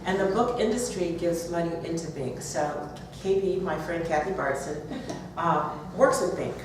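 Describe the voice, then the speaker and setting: A woman's voice: on the low side, normal speed. A woman author speaking and reading aloud at a live event. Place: bookstore, audience event. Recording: roomy, distant, noisy live event recording.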